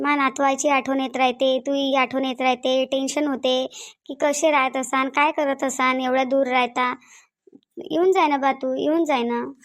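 A woman plays an aging village mother from a cartoon, with a high voice and a Varhadi Marathi accent. She is lonely and plaintive, pleading and lamenting in repeated phrases. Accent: Varhadi Marathi of Vidarbha. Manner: repetitive, lamenting. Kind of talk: cartoon dialogue.

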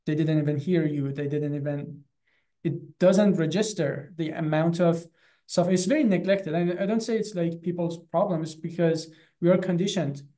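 A man in his thirties with an Iranian accent, giving an earnest, halting personal account as an interview guest on a video call. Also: fairly high pitch, warm tone. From Iran. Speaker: animal rights activist and guest. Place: small classroom in front of a chalkboard. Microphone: video call, close to computer.

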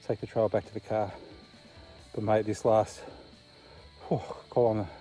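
A man's voice: medium pitch, dark tone. A man in his thirties with an Australian accent, talking casually to camera while hiking a bush trail at night, out of breath and puffing between phrases. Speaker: hiker vlogger. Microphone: camera held close at arm's length.

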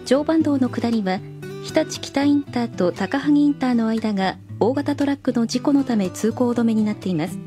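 High-voiced woman reading traffic reports. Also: normal speed, mellow, faint music behind.

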